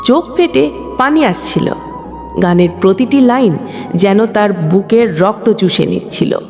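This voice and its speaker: A woman's voice: medium pitch, animated, measured pace, a little mellow. A woman reading a scripted emotional story as a storyteller, in a dramatic, sentimental delivery. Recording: long hall reverb, music bed. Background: background music.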